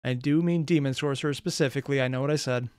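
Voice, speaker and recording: A man's voice: mid-range voice, steady tone, quick pace. A man hosting a reaction video, giving off-the-cuff, sarcastic and exasperated commentary full of rambling asides.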